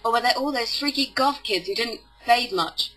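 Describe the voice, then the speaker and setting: A woman's voice: fairly high pitch, moderate pace, sharp tone. A woman giving a theatrical, sarcastic dramatic reading of a novel as an amateur narrator. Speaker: amateur book reader. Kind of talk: mock-dramatic audiobook narration.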